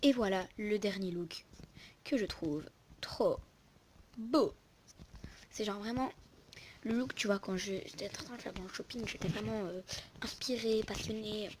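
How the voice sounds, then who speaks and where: A woman's voice: medium pitch, unhurried, pauses often. A young woman casually commentating a game lookbook as host, rambling and interrupting herself, on a poor recording with noise and clicks. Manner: rambling, informal, self-interrupting. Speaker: video host.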